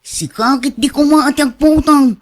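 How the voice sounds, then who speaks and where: A woman's voice: high voice, normal speed, lightly acted. A woman playing an outspoken, sceptical, teasing female relative in an Ilocano radio drama, with an Ilocano accent. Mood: teasing, mocking. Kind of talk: Filipino radio drama acting.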